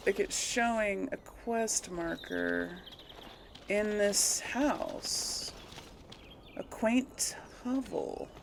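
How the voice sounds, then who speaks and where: A woman's voice: mid-range voice, extremely slow, gravelly, a little hiss or hum. A woman giving casual gameplay commentary, rambling and thinking aloud as she goes. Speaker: let's play host.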